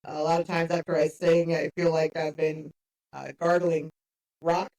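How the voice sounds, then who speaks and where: A woman in her fifties with a gravelly voice, chatting as a podcast guest and laughing often. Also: low-pitched, normal speed, poor audio. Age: 50s. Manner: laughs often, lively storytelling. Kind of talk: casual chat. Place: a workshop.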